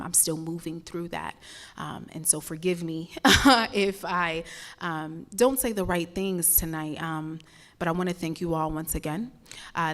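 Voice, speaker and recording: A young woman from Ghana, a spoken word poet, talking to a live event crowd and then performing a poem with a rhythmic, impassioned delivery and repeated words. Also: on the low side. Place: stage at a live campus event.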